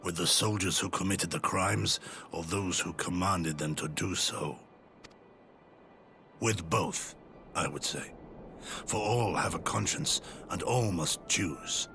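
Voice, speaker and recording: An actor playing a solemn, conscientious retainer who speaks in grave, formal, measured rhetoric in a video game drama. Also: male voice, sits low, sharp tone, faint music behind, heavily acted. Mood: grave appeal.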